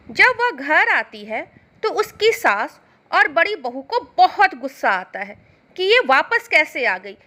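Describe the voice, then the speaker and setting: A woman's voice: sits high, sharp tone. A woman narrating a scripted moral storybook tale as the storyteller.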